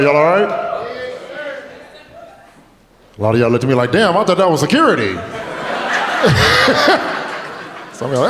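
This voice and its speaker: A man's voice: fairly high pitch, background noise. A man in his late twenties doing a stand-up comedy set on stage, telling loose, profane stories and talking with the crowd. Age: 20s. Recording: echoey club with long reverb. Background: audience laughter.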